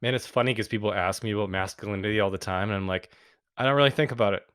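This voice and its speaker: Deep-voiced man, casual and earnest podcast guest, dry close mic. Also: very fast, fairly bright tone, smooth, no room sound. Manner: earnest, reflective, with frequent fillers. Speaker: podcast guest, men's group founder. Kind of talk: casual interview talk.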